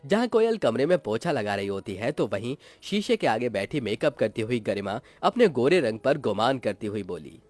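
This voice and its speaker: A man's voice: medium pitch, sing-song, moderate pace, few pauses, a little mellow, no room sound, faint music behind. A man narrating a moral cartoon story in a scripted, melodramatic storytelling style.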